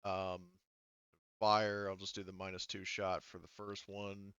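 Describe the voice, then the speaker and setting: Smooth-voiced man running a tabletop game. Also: low-pitched, monotone, measured pace, a bit bright, no room sound, highs cut off. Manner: informal, swearing. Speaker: game master. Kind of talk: casual tabletop game narration and rules calls.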